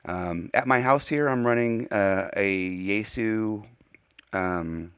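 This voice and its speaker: A man's voice: lowish voice, slow pace, fairly bright tone, clean tone, dry recording, highs cut off. An American man working as an amateur radio operator, speaking courteously in a casual on-air radio style. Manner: courteous, spells with phonetic alphabet.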